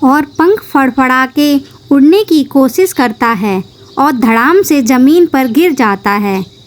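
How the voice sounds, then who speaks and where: A woman's voice: high-pitched, lively intonation, slow. A woman narrating a scripted children's story.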